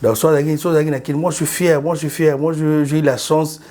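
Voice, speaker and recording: A man's voice: on the high side, brisk. A man from Congo with a Congolese French accent, talking animatedly as an interview guest, often repeating himself. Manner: animated, repetitive, switches between French and Lingala. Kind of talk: casual interview talk. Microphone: studio.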